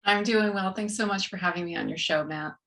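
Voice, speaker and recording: A woman's voice: mid-range voice, brisk, creaky, a bit bright, a little hiss or hum. A woman in her forties with a Texan accent, a guest author chatting in a friendly, matter-of-fact way. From West Texas, USA. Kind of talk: casual interview chat.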